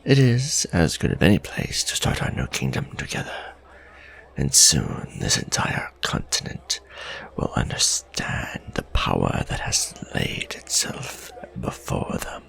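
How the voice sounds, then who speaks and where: Vampire lord: gothic roleplay, imperious and courtly, very deep, gravelly, formal diction, doting but menacing. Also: male voice, huge pitch swings, a bit bright, whispered, full character voice, low-quality recording. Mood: imperious, ambitious. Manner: formal, pompous, measured diction. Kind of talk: romantic gothic ASMR roleplay acting.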